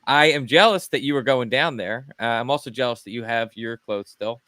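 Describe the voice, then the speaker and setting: A man's voice: mid-range voice, a little fast, pushed and bright. A man co-hosting a casual sports podcast, upbeat and easygoing. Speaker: podcast co-host.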